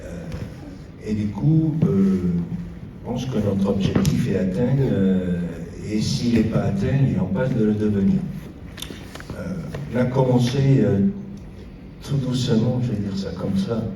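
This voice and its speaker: A man giving an informal public progress report as a local official, speaking haltingly with long hesitant pauses. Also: on the low side. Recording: roomy echo, distant mic, noisy.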